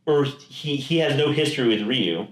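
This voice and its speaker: A man co-hosting a comedic podcast, chatting casually about films in a rambling, halting, emphatic way. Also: medium pitch, expressive. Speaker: podcast co-host. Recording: echoey room.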